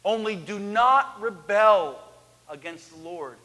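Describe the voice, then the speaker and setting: A man in his thirties preaching an animated sermon on a church stage, swinging from loud to hushed. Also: high-pitched, takes it slowly. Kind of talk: lively sermon. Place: church sanctuary, large, indoors. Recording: echoey church hall.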